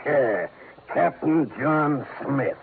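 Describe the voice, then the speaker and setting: Casting: brutish hired gun, gravelly, drawn-out delivery, tinny old-radio sound. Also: male voice, mid-range voice, lively intonation, noisy background, heavily acted. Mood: menacing. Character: ugly heavy-set male gangster thug, menacing. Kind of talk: 1950s radio drama acting.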